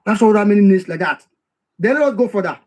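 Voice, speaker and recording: Man, high-pitched voice, reads out quotes and unpacks them, repeats points. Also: lively intonation, fast, darkish tone. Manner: reads quoted passages then explains them, repeats key phrases for emphasis. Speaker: history commentator. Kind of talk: explaining a history text aloud.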